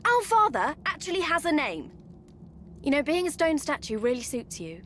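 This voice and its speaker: High-voiced, sardonic living statue woman. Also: very animated, moderate pace, very noisy. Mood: exasperated, mocking. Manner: sarcastic, cutting. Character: bitter, sardonic immortal sister bound as a statue. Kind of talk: video game character acting.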